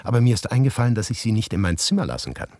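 A man's voice: fairly low pitch, very animated, very fast, a little mellow, no room sound, voice pushed up. A man playing a moody, morbid and slightly tipsy male writer in a radio play, abrupt and provocative. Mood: amused, evasive.

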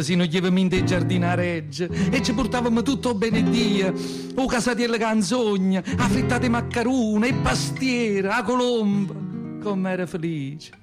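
A man playing an earthy, feisty old Southern Italian woman who tells her memories in expressive Neapolitan dialect, in a theatrical radio monologue. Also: high-pitched, natural voice. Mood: tender, nostalgic. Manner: expressive, colloquial dialect storytelling. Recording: prominent acoustic guitar music behind.

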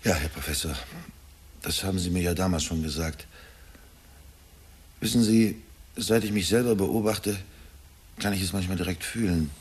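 A middle-aged family man with a deep, very husky voice, brooding and anxious. He speaks hesitantly and deferentially, as if confessing, in a radio drama style. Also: normal speed, pauses often. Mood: anxious, confiding. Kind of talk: German radio drama acting.

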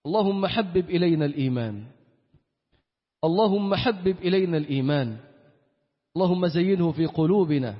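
A man with an Egyptian Arabic accent preaching an Islamic sermon in an emphatic manner, repeating key phrases. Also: high-pitched. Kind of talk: Friday sermon with recitation and supplication. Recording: echoey hall with long reverb, dull sound.

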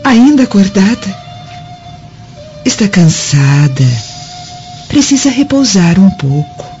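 An actress playing a cold, scheming, falsely sweet daughter in a melodramatic radio play, speaking in a theatrical, deliberate way. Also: female voice, medium pitch, very animated. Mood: falsely caring. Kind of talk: melodramatic radio drama acting. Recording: dull radio drama audio, loud music.

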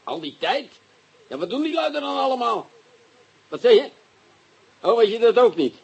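A hurried, brusque police detective shouts down a phone line in a radio play. He talks in a high, husky voice and trips through broken English. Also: big pitch swings, exaggerated voice. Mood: impatient. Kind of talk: radio drama acting.

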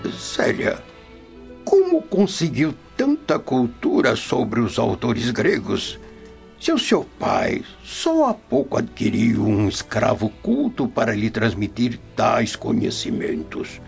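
A grave old Roman patriarch with a Brazilian accent, tender yet perplexed, in a radio drama. The delivery is unhurried and swings widely in pitch. The sound is dull and lo-fi, with music underneath. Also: male voice, big pitch swings, vocal fry, heavily acted. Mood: puzzled. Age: older. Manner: solemn, paternal, deliberate. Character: elderly Roman grandfather, grave, tender but perplexed traditionalist.

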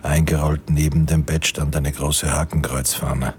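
A man with an extremely deep voice a sober storyteller. His radio play narration is measured and solemn, over faint ambient noise. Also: little pitch movement. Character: sober, omniscient male storyteller of a war romance.

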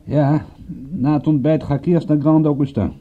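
A man's voice: a little high, fairly even intonation, moderate pace, narrow, tinny audio, lightly acted. An actor playing a wry, teasing male private detective with dry banter in a radio drama. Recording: band-limited old radio play recording.

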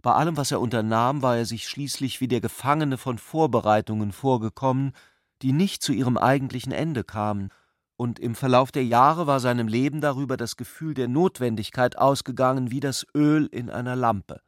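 A man reading literary prose as a scripted narrator, in a measured, deliberate way. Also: mid-range voice, dry recording, studio quality.

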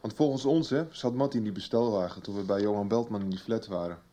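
A man's voice: fairly low pitch, quick pace, warm tone, natural voice. An actor playing a young policeman son, talking colloquially in a Dutch radio soap. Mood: matter-of-fact. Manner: colloquial, chatty. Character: young policeman son recounting his case to his mother.

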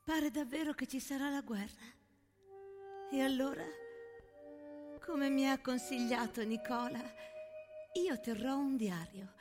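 Genteel, high-voiced lady diarist, played by an actress. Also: measured pace, long pauses, music in the background. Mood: earnest, hesitant. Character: genteel young married lady reading her wartime diary, uneasy. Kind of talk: intimate theatrical monologue.

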